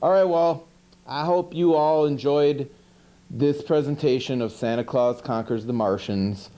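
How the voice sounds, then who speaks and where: A man's voice: mid-range voice, unhurried, warm tone, muffled recording, lightly acted. A man playing a grumpy, dim monster who hosts a TV horror-movie show, rambling and complaining in exasperated disbelief. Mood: resigned, signing off. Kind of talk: rambling comedic hosted segment. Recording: 1980s VHS TV recording with music.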